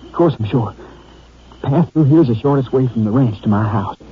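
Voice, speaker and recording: Uneasy country lad: American, mid-pitched, grudging and folksy, thin phone-like vintage radio sound. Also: male voice, moderate pace, slightly rough. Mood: sullen. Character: sullen, uneasy young rural Texan, pushed around. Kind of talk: 1950s American radio drama acting. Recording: tinny, noisy old 1950s radio recording.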